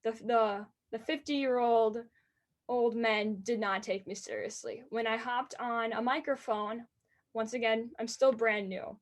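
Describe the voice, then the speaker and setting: An eighteen-year-old woman with a high-pitched voice, earnestly answering interview questions about racing announcing. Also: steady tone. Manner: earnest and enthusiastic, quick bursts.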